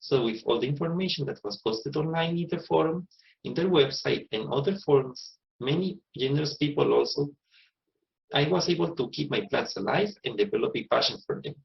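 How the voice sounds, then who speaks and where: A man's voice: on the high side, animated, a little fast, creaky, dull audio. A man in his thirties with a Costa Rican accent, a native Spanish speaker, giving a prepared personal narration as a plant nursery owner. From Costa Rica.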